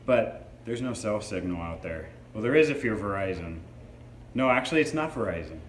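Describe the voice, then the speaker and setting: A man's voice: fairly low pitch, expressive, moderate pace. A man reviewing a product in a casual, hands-on demo, explaining features informally. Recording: echoey room with faint background noise.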